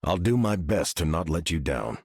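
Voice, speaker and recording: A brooding game hero with a very low, husky voice. The delivery is terse and grave, with dramatic movement in pitch and a dry, close sound. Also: male voice, big pitch swings, moderate pace, a little mellow, dry recording, heavily acted. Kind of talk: video game character voice lines.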